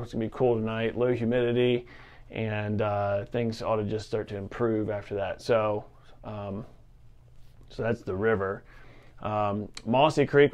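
A man around his late thirties or forties giving a casual, unscripted report in an informal, conversational way, as a shop presenter. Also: mid-range voice. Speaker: fly shop forecast presenter. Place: fly fishing shop, indoors. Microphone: lavalier clipped at collar.

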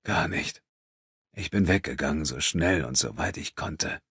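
A haunted, bitter father in an audiobook, acted out by a male reader. His voice is extremely deep and husky, halting and trembling under guilt and grief. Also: animated, a bit bright, dry recording, studio quality, exaggerated voice. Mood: shaken, trembling.

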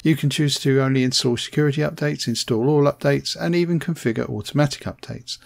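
Steady man narrating a tech tutorial. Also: mid-range voice, creaky. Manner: plain, steady, spells out commands slowly. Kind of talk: scripted voice-over narration.

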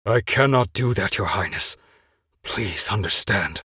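A man's voice: on the low side, expressive, unhurried, husky, dry recording, studio quality, heavily acted. A man playing a loyal older knight in a video game, speaking in a grave, restrained, formal manner. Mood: firm restraint. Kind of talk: dramatic video game voice acting. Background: loud music.

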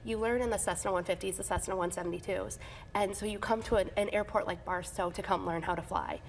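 A woman's voice: medium pitch, fairly even intonation, fast, creaky, crowd noise. A woman in her thirties, an airport manager, talking eagerly in a TV studio interview with plenty of fillers and restarts. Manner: enthusiastic, chatty, frequent fillers and restarts. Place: TV news studio. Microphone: lapel.

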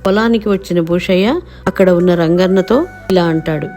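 Mellow female storyteller. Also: lowish voice, moderate pace, soft background music. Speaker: narrator. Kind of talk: scripted children's story narration.

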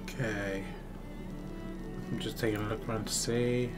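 A man's voice: on the low side, flat delivery, measured pace. A man with a sore, slightly scratchy throat giving casual gameplay commentary, thinking aloud without hurry. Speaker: gaming video host. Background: music.

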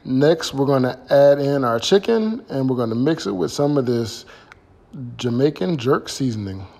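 A man's voice: mid-range voice, animated, normal speed, gravelly, warm tone. Middle-aged American man in a reverberant room. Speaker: home cook hosting a cooking vlog. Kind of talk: casual recipe walkthrough to camera. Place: indoor home kitchen. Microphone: phone at arm's length.

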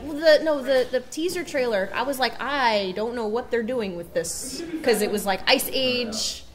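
A young woman voice actor chatting casually on a convention panel, bubbly and quick to laugh, into a table mic. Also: on the high side, crowd noise. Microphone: table mic on stand.

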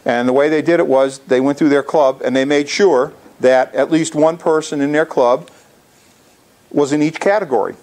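Male, 60s, creaky gravel in the voice, informal club presenter talking through slides. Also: fairly high pitch, steady tone, normal speed, a little mellow. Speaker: amateur radio club presenter. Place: indoor lecture room.

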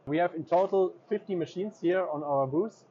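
A man's voice: high voice, moderate pace, mellow. A man in his thirties guides visitors around a big indoor expo booth, explaining machines with lots of ums. He sounds a little dull and muffled on the camera mic. Faint hall noise hums behind him. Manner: hesitant, many ums and uhs. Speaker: equipment dealer representative. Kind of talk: trade fair booth tour. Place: large indoor exhibition hall.

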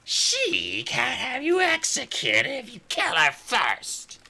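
A cruel female dragon queen from a storybook, high and sharp-edged, hissing and purring her taunts, as a male narrator puts her on. Her voice swoops wildly up and down, bright and tense, with a gravelly grain at the bottom. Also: huge pitch swings, slow pace, exaggerated voice. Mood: scheming. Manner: hissing, snarling, mocking.

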